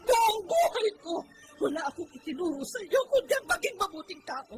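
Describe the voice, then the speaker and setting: A woman's voice: extremely high pitch, sing-song, a little fast, faint music behind. A woman playing an anguished, upright mother in a Filipino radio drama, with a Filipino accent. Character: anguished, upright mother scolding her child.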